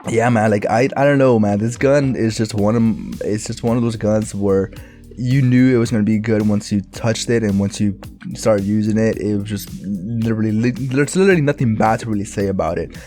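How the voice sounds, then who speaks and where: Raspy, rambling gamer guy. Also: lowish voice, brisk, dark tone, soft background music. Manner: rambling, filler-heavy, laid-back. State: raspy, recovering voice. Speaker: gaming YouTuber. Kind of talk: casual gameplay commentary.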